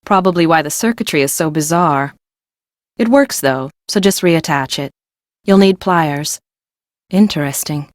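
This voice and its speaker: Game role: blunt, quirky female chief engineer, low register, brisk offhand delivery, close dry recording. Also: dry recording. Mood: offhand, helpful. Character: confident, blunt, quirky woman chief engineer at amusement park. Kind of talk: video game voice acting.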